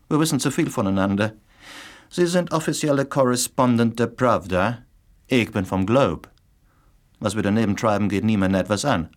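Male actor as a sardonic low-voiced blackmailing newsman. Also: close to an everyday voice. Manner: sardonic, needling, probing. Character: threatening, suspicious male foreign correspondent, a blackmailing rival. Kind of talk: German radio drama acting.

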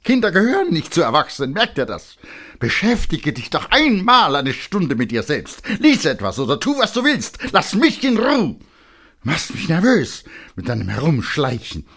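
Exasperated mother acted by a man, raised shrill voice, agitated scolding, swooping pitch, storybook drama. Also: huge pitch swings, moderate pace, a bit bright, full character voice. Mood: furious, exasperated. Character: exasperated mother snapping furiously at her clinging son. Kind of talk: dramatic audiobook character reading.

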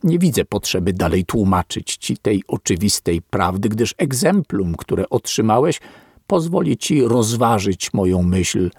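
A man narrating a philosophical text in a solemn, deliberate, sermon-like reading. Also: on the low side, sing-song, a little mellow, breathy edge, no echo, studio quality. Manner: slow, weighty and deliberate, like a sermon.

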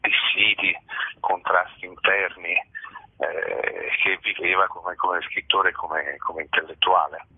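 A man, an actor being interviewed, explaining things in a halting, thoughtful way over the telephone. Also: deep voice, steady tone, vocal fry, breathy edge. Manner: halting, thoughtful, repeats words while explaining. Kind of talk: spontaneous interview talk. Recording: tinny phone line into radio.

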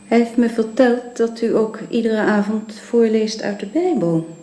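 A woman's voice: fairly high pitch, measured pace, warm tone, natural voice. Weary, bitter bedridden wife in a roomy recording, acted by a woman. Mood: accusing. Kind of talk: radio drama acting.

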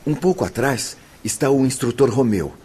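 An actor with a Brazilian accent playing a solemn, courteous spirit guide who explains formally in a radio drama. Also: male voice, mid-range voice, expressive, normal speed, a little mellow, slightly rough. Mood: patient, instructive. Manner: formal, didactic.

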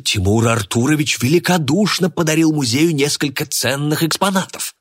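A man's voice: medium pitch, sing-song, unhurried, bright, forceful tone, husky, voice pushed up, heavily acted. A man voicing a timid, flustered museum official in an audiobook, pleading with frightened, anxious jabber. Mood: flustered appeasing.

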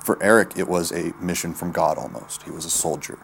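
A man's voice: low voice, fairly even intonation, a little fast, creaky, fairly bright tone, a little hiss or hum. An American man giving an informal, blunt eyewitness recollection in colloquial speech. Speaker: eyewitness and former student giving an account.

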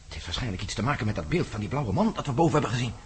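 An actor playing a nervous, cautious companion in a radio play, agitated and stammering with alarm. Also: male voice, mid-range voice, big pitch swings, very fast, slight background noise, lightly acted. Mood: shocked, hushed. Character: nervous, cautious male companion, easily appalled. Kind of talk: Dutch radio play acting.

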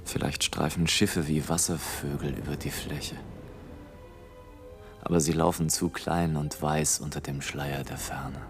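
A man's voice: rumbling low, flat delivery, unhurried, soft background music. An actor playing a fervent airship adventurer in a radio play, declaiming his flight in poetic, theatrical phrases. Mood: awed, hushed reverie.

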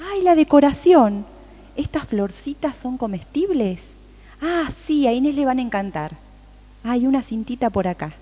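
A woman playing a cheerful, dedicated home baker in a radio play, chatty and expressive, with an Argentine Rioplatense accent. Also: high-pitched, normal speed. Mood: cheerful, absorbed. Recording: very echoey room with long reverb.

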